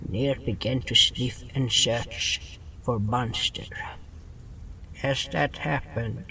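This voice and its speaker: A man playing a cautious young bird who narrates a fantasy story in the first person, solemn and deliberate, dropping small words in broken grammar. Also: medium pitch, fairly even intonation, creaky, bright, forceful tone, far from the mic, a little hiss or hum, full character voice. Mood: tense, hushed. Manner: solemn, deliberate, drops articles.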